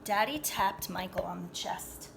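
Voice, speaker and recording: A woman reading a children's picture book aloud in an animated, dramatic way, pausing to explain things to young listeners like a teacher. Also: mid-range voice, creaky, a little hiss or hum. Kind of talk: expressive read-aloud storytelling with asides.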